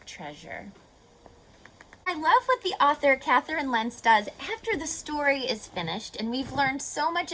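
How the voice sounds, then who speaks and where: A woman's voice: on the high side, pushed and bright, poor audio. A woman hosting a children's read-aloud, speaking expressively and putting questions to young listeners as she teaches. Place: on location at a horse facility.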